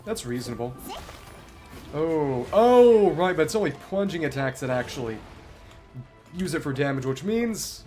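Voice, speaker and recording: Man with animated pitch, thinking aloud over gameplay. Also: a little slow, pauses often. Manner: analytical, talking through tactics to himself. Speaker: game streamer. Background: music behind the voice.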